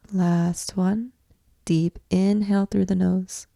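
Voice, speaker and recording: A woman teaching yoga nidra, guiding a meditation in measured, deliberate phrases with long pauses between them. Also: deep voice, vocal fry, mellow, no echo. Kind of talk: guided meditation, slow instructional narration.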